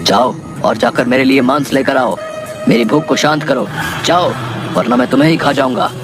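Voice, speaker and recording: A man's voice: medium pitch, moderate pace, over music, natural voice. A man voicing a fearsome, gluttonous man-eating ogre in a children's story, bullying and gloating over food. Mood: menacing, commanding. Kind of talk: acted children's moral story.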